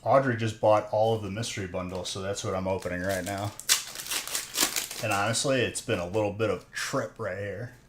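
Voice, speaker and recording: A man's voice: on the low side, fairly even intonation, fairly quick, slight background noise. A man in his thirties hosting a live stream, chatting casually and jokingly teasing his viewers while he opens card packs. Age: 30s. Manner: teasing, joking banter with chat.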